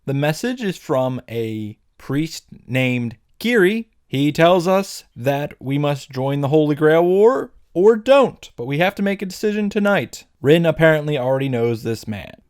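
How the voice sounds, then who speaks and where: A man's voice: a little high, sing-song, measured pace, hardly any pauses, darkish tone, clean tone, no echo, studio quality. A man narrating a comedic scripted recap as a video host, wry, playful and casually irreverent.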